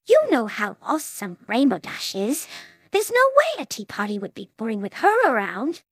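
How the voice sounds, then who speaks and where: A woman's voice: a little high, huge pitch swings, moderate pace, fairly bright tone, husky, dry recording, pitched above the performer's own voice, exaggerated voice. A woman voicing a refined, gracious young female pony from a cartoon fan story, with a polite, ladylike manner. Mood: teasing, reassuring.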